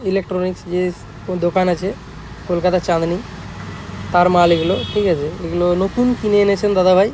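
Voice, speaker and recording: Male shop-owner vlogger, high-pitched voice, West Bengal Bengali accent, informal repetitive patter, some ambient noise behind. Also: a little mellow, rough recording. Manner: informal, repetitive, checks in with listeners often. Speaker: speaker repair shop owner presenting his work. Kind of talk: casual product showcase vlog.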